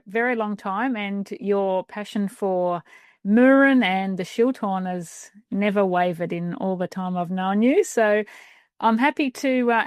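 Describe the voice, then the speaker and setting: A woman's voice: mid-range voice, no echo. Woman hosting a travel podcast, dry sound. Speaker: podcast host.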